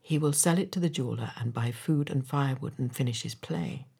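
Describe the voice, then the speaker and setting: A woman voicing a gentle, sorrowful statue of a prince, compassionate and quietly commanding, in a solemn storybook manner. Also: very deep voice, brisk, husky, voice pushed down, full character voice. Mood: sorrowful, selfless. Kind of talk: storybook character voice.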